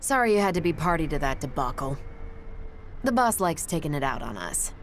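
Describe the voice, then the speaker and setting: An actress playing a brash, loyal ace test pilot in a video game, speaking in a casual, confident, plain-spoken way. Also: female voice, sits low, big pitch swings, fairly quick, slight background noise. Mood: bitter. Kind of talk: dramatic video game cutscene acting.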